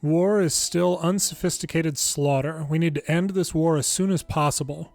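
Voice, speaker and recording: A man's voice: fairly high pitch, fairly even intonation, moderate pace, creaky, a little mellow. A man narrating a video game story, reading scripted dialogue and text aloud. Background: faint game music.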